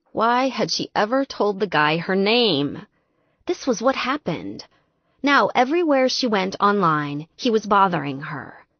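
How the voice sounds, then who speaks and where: A woman narrating an audiobook novel in an expressive, scripted storytelling style. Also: medium pitch, no echo, dull audio.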